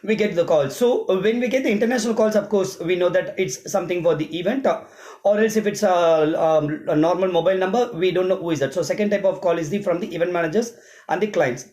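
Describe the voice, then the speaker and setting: A man's voice: sits high, fast, a little mellow. A man with an Indian accent who works as an event emcee, explaining things informally step by step like a vlogger. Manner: repetitive, step-by-step explaining with examples. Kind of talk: informal explanatory vlog monologue. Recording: roomy, echoing sound.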